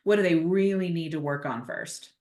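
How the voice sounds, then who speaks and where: A woman hosting a podcast interview, asking questions and encouraging her guest with steady affirmations, often restarting her sentences. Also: lowish voice, moderate pace. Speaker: podcast host. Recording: echoey room.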